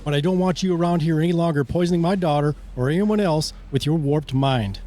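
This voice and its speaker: A man's voice: a little high, brisk, warm tone, lightly acted. A man playing a stern, threatening small-town sheriff and father in an amateur radio play.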